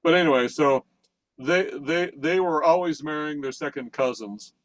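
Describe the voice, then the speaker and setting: A man's voice: fairly high pitch, moderate pace, fairly bright tone, no echo, low-quality recording. An older man from central Pennsylvania telling a long story in casual chat, rambling with many restarts and chuckles, on a video call. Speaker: participant in a technical session.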